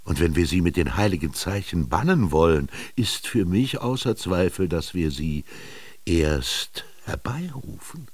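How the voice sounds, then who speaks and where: A wry old Egyptian peasant looking back on his life in a radio drama. The voice is very low and full of gravel. He philosophizes in a slow, earthy way, with dramatic pauses. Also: no room sound, exaggerated voice. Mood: brooding. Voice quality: gravelly, husky. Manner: slow, brooding, expressive storytelling with dramatic pauses. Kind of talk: literary radio drama monologue.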